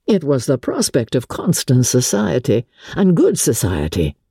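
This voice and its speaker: Lady narrator doing a wounded young gentleman officer, mellow n husky, plaintive, confiding, classic novel style. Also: extremely low pitch, wildly swooping pitch, unhurried, no echo, clean studio sound, full character voice. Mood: genteel, self-pitying. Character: smooth-talking, wronged young gentleman officer, wounded and genteel.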